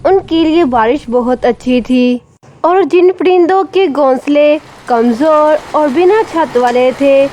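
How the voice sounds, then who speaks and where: A woman's voice: sits high, takes it slowly, mellow, low-quality recording. A woman narrating a scripted children's story. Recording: faint rain and background noise.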